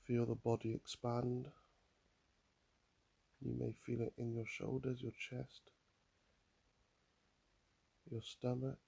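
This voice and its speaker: A man guiding a mindfulness meditation in a calm, soothing manner, leaving long pauses between phrases. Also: medium pitch, creaky, husky. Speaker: mindfulness guide. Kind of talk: guided meditation, body scan.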